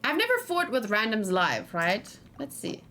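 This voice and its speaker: A woman gaming streamer chatting casually with viewers, thinking out loud as she plays and breaking into animated exclamations. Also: on the high side, normal speed. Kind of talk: casual live game commentary, chatting with viewers.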